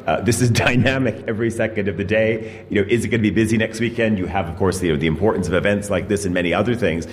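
A man's voice: fairly low pitch, steady tone, quick pace, few pauses. A man hosting a live panel, asking rambling questions full of fillers and hedges. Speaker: host. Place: hotel hall with audience. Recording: long reverb of a hotel hall with faint noise.

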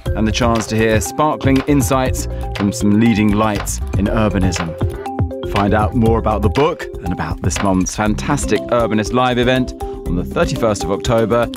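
A man with a lowish voice reads a scripted promo smoothly and brightly. Music plays clearly underneath him throughout. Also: normal speed. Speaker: promo announcer. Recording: voice-over with music bed.

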